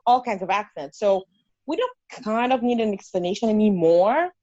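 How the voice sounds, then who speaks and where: A woman with a Haitian accent talks through an interview in a lively, storytelling way, often restarting sentences. Her voice sounds dull and lo-fi, and the recording is dry, with no room sound. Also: mid-range voice, normal speed, dry recording. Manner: animated storyteller, many fillers and restarts. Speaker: guest. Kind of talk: conversational interview.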